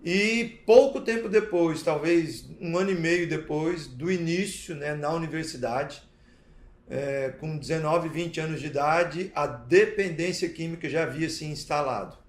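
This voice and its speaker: A man giving a personal testimony, speaking deliberately in short phrases with pauses and little checking tags. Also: on the high side. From interior of Paraná, southern Brazil. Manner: deliberate, pausing between short phrases, seeks agreement with tags. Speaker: recovery talk speaker. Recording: echoey, roomy sound.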